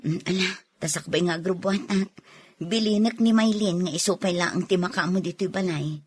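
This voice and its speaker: A woman's voice: on the low side, a little fast, husky. A woman playing a caring, practical wife in an audio drama, speaking with an Ilocano accent. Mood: concerned. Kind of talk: dramatized radio-style story acting.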